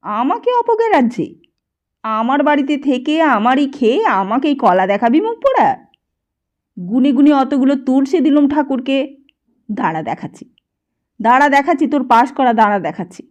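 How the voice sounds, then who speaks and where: Cantankerous blind old grandfather, put on by a woman narrator: scolding, loud, high and swooping, lo-fi storybook reading. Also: very animated, quick pace. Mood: furious. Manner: shouting, scolding.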